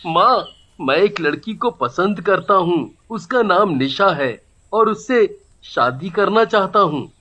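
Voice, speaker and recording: A man's voice: high voice, animated, slow pace, bright, forceful tone, exaggerated voice. A man voicing a naive, devoted young son in a cartoon, earnest, in a dull lo-fi recording. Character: naive, devoted young man, fiercely loyal to his mother. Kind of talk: Hindi cartoon story dubbing.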